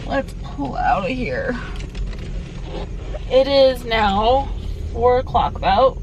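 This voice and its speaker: A young woman, a student teacher, chatting casually in a vlog, rambling with lots of "um" and "like", her voice slightly hoarse as she loses it. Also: a little high, lively intonation, slow pace, darkish tone, lo-fi sound, slight background noise. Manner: rambling, full of "um" and "like".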